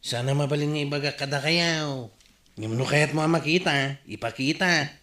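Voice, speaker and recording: A show-off village fella, ilocano accent, bright forceful voice, roomy radio comedy. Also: male voice, fairly high pitch, normal speed. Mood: gleeful, boastful. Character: boastful lucky male villager flaunting inherited money.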